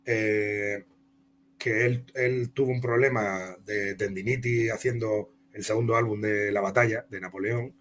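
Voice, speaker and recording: A man's voice: fairly low pitch, steady tone, unhurried, a bit bright, a little hiss or hum. A man from Spain with a Castilian Spanish accent, telling career anecdotes as an interview guest in a rambling, conversational way. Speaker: comic book author and interview guest.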